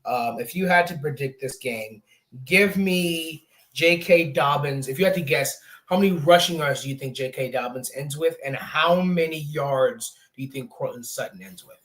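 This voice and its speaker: A man's voice: fairly high pitch, moderate pace, a bit bright. A man in his late twenties hosting a casual sports talk show, rambling with lots of fillers. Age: 20s. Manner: chatty, rambling, many fillers. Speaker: podcast host.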